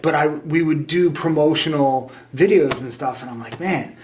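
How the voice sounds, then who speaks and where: A man's voice: a little high, normal speed, noisy background. A man hosting an interview in a casual, chatty style. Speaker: interview host.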